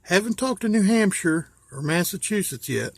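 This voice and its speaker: An American man from West Virginia talking casually and informally as he shows things, pausing to think aloud. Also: high voice, expressive, gravelly, no room sound. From West Virginia, USA. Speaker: hobbyist vlogger. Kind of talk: casual show-and-tell.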